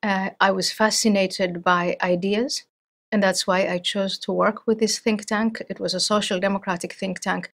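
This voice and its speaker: Measured, thoughtful woman interview guest. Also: medium pitch, steady tone, vocal fry, fairly bright tone. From Somalia. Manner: thoughtful, measured, with frequent restarts. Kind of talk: interview conversation.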